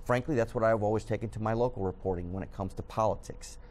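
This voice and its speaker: Earnest low-voiced man, faint ambience. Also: quick pace, a little hiss or hum. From Southern California. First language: Spanish. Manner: earnest, animated, many fillers. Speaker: guest, news editor. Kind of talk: studio TV interview. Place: TV studio.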